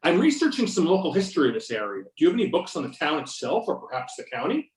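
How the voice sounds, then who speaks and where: A man's voice: on the high side, huge pitch swings, fast talker, slight background noise. A man playing a determined investigator in readers theater, matter-of-fact and commanding, over a remote call. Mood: polite, inquisitive. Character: Beckett, determined male investigator giving orders. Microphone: remote videoconference or phone call. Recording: roomy, distant mic on conference call.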